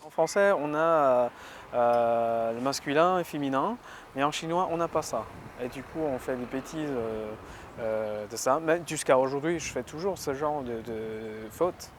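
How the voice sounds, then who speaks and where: A man with a Chinese accent speaking in a documentary interview, hesitant, with many pauses. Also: mid-range voice, a little hiss or hum. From China.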